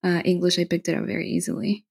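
A woman from Bolivia, a podcast guest, talking in a relaxed, chatty way. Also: fairly low pitch, fast talker, vocal fry, husky. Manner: relaxed, chatty, with frequent fillers and soft laughs. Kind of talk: casual interview chat.

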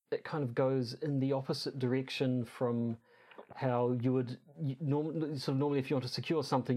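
A man co-hosting a podcast in casual discussion, halting and hesitant as he thinks aloud with frequent false starts. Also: mid-range voice, a little mellow. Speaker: podcast co-host.

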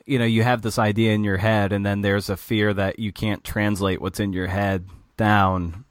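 A man hosting a podcast, asking questions in a halting, casual way. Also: fairly low pitch, flat delivery, dry recording, clean studio sound. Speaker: podcast host.

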